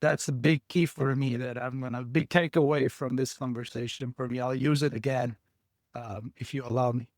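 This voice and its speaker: A man's voice: medium pitch, steady tone, normal speed, no echo, clean studio sound. Enthusiastic man hosting a podcast. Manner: enthusiastic, wrapping up with thanks. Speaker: podcast host. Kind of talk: podcast conversation.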